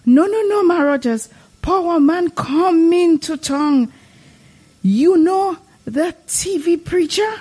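Excitable devout old village lady, female actor: Dominican Caribbean accent, very high and animated, gushing, drama dialogue. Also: slow, full character voice. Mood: joyful, earnest. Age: older. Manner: gushing, exclamatory. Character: excitable, devout, gullible older village woman.

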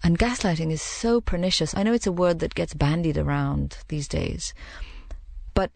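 A woman who grew up in the Middle East, giving a reflective, measured personal account in an interview and pausing to find her words. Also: on the low side, fairly even intonation, gravelly, darkish tone, no echo.

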